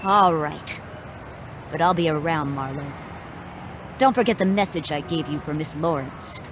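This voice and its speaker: A woman playing a big, menacing, dim female thug in a radio drama, gruff and threatening. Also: low voice, measured pace. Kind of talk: hard-boiled detective radio drama. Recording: noisy track with outdoor city ambience.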